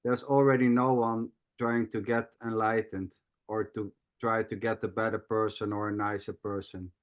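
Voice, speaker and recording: A man hosting a video call, calmly explaining nondual ideas in a teaching tone. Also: fairly low pitch, normal speed. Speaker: host. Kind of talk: spiritual teaching talk.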